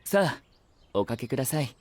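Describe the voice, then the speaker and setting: Gracious, expressive knight played by a man. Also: medium pitch, normal speed, lightly acted. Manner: courteous, formal polite speech. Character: gracious, polite male knight hosting guests. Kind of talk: anime-style audio drama acting.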